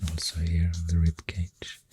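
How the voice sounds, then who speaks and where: A soft-spoken ASMR host with a Swedish accent, half-whispering in a breathy voice and hesitantly rambling up close to the microphone. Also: low-pitched, completely flat delivery, noisy background. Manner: hushed, hesitant, pausing mid-thought. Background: pencil writing sounds.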